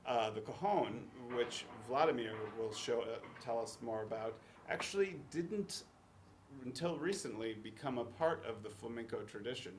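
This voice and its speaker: Man, mid pitch, halting and filler-heavy on a call, faint hiss behind. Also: lively intonation. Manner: hesitant, many restarts and fillers. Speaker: teaching artist, musician. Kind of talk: casual explanation on a webcast.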